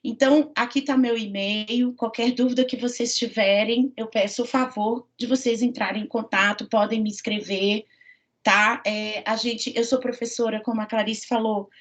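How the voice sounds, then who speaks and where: A woman's voice: fairly high pitch, fairly even intonation, normal speed. Woman with a Minas Gerais accent lecturing. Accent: Minas Gerais Portuguese. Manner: friendly, fillers and tag questions. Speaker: university professor. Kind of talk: online lecture. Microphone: computer mic on a video call. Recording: dull lo-fi video call audio.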